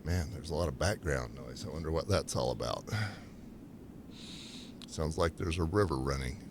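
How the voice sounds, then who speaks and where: A man interviewing a guest in a relaxed, casual way and giving calm advice about microphone setup. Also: very deep voice, moderate pace, background noise. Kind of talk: casual chat.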